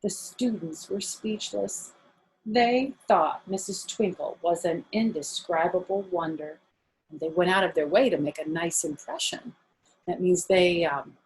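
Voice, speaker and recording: A woman's voice: fairly low pitch, a little slow, a little mellow, slight background noise, low-quality recording. Older woman reading stories to children. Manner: explains words plainly to young listeners. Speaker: grandmother reading a picture book to children. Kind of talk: storybook read-aloud with simple explanatory asides.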